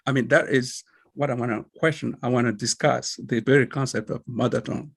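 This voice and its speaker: A man whose first language is Kabye, a librarian giving a slow, reflective talk over a video call, halting often with fillers. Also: mid-range voice, fairly even intonation, creaky, breathy edge. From northern Togo. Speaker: librarian presenter. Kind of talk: virtual meeting talk.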